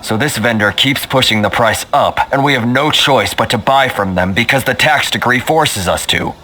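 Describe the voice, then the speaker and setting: An actor playing a worried, resigned foreign merchant in a video game, with a measured, plaintive delivery. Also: male voice, mid-range voice, bright, forceful tone, poor audio. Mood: weary, resigned. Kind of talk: video game dialogue acting. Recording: game music behind.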